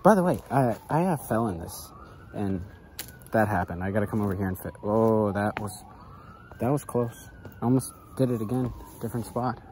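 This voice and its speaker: A man's voice: on the low side, lively intonation, normal speed, darkish tone, slight background noise, poor audio. A young man vlogging while he fishes from a backyard dock, chatting loosely with lots of fillers, recorded on a head-mounted camera. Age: young adult. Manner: rambling, laid-back, loose with fillers. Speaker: fishing vlogger. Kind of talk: casual running commentary while fishing. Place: outdoors on a backyard dock by a canal.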